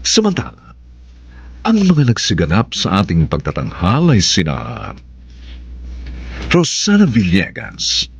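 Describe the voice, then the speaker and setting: A man's voice: fairly low pitch, wildly swooping pitch, slow pace, creaky, pauses often, slightly rough. A man with a Filipino accent announcing a radio drama in a dramatic scripted style, on dull, dry audio.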